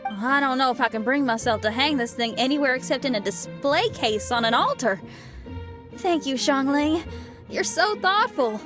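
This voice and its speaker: A woman's voice: sits high, animated, normal speed, music in the background. An actress voicing a bold, frank young female rock musician with a straightforward, easygoing manner in a game. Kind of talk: game voice acting.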